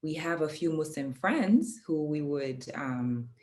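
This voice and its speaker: Low-voiced woman, reflective. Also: expressive, a little mellow. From Kenya. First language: Swahili. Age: adult, parent of a young child. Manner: reflective, pauses often with ums. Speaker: guest. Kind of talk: casual conversation.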